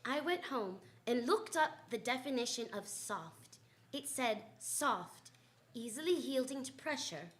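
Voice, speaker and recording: A woman's voice: a little high, lively intonation, unhurried. A woman playing a breezy, wisecracking female private eye who narrates a live radio drama in a wry, sassy way. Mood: wry narrating.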